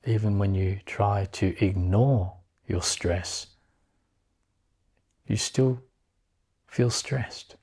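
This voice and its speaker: A man guiding a meditation in a calm, unhurried manner, leaving long pauses between short phrases. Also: on the low side, husky. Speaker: meditation guide. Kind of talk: guided meditation.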